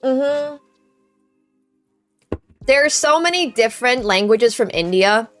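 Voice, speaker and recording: A young woman, a native Chinese speaker, hosts a casual live stream in a slightly high voice. She chats easily, thanks viewers by name, and tries out phrases in many languages while soft music plays in the background. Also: expressive, takes it slowly. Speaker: livestream host. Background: faint background music.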